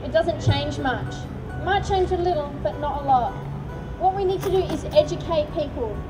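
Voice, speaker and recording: A woman's voice: high-pitched, recorded from a distance, poor audio. A woman giving an impassioned public speech, rhetorical and urgent. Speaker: rally speaker.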